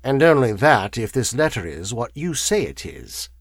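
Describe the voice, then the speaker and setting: Stern, cool man as a lawyer, animated pitch. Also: no echo, studio quality. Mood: cold, firm. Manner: stern, measured, cold.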